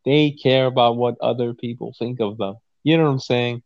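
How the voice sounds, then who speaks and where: A man's voice: mid-range voice, fairly even intonation, normal speed, dry recording, highs cut off. A man in his twenties talking casually and emphatically, with lots of swearing and repeated "you know what I'm saying.". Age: 20s. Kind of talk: casual conversation.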